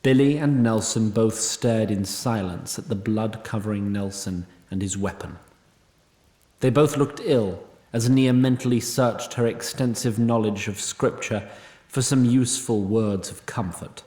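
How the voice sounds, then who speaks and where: A man narrating a scripted fantasy story in a wry, measured way. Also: lowish voice, dark tone, reverberant.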